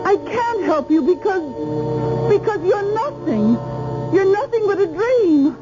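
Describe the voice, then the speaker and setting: A frightened, haunted woman, speaks slowly in a high, hesitant voice. She repeats herself with emotion, on a muffled old radio play with music behind. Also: big pitch swings, heavily acted. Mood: anguished. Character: frightened, doubting woman haunted by a ghostly vision. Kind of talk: 1970s radio drama acting.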